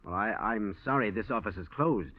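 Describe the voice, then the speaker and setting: A man's voice: on the low side, expressive, moderate pace, slight background noise, lightly acted. An actor playing an evasive, nervous American businessman with a defensive manner in a 1940s radio drama. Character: evasive, nervous male businessman hiding guilt. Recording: muffled vintage radio recording.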